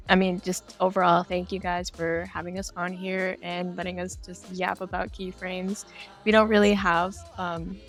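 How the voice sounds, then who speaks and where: Female indie developer guest, a little low in pitch, informal and playful, faint music behind. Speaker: game developer, podcast guest. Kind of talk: casual interview chat.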